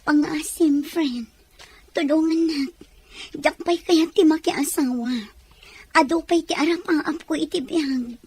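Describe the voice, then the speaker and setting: An actress playing a downcast young runaway daughter in a radio drama, speaking plaintively. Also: female voice, high-pitched, fairly even intonation, measured pace, darkish tone, no room sound. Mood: pleading.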